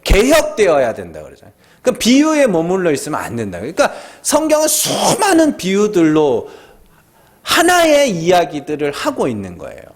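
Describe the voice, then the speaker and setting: Animated high-voiced man from Gyeongsang preaching. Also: normal speed. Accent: Gyeongsang Korean. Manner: conversational preaching with rhetorical questions and prompts; emphatic, swooping delivery. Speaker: pastor. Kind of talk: Friday prayer meeting sermon.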